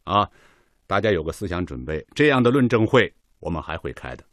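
An actor playing a measured, authoritative official in a radio drama, chairing a technical meeting with unhurried, probing questions. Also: male voice, sits low, sing-song, no room sound.

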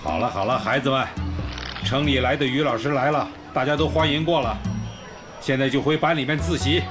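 A man's voice: medium pitch, a little fast, close to an everyday voice. A man acting as a kindly, courteous village primary school principal in a Chinese radio drama. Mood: brisk, fatherly. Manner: courteous, welcoming. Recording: music bed behind the voice.

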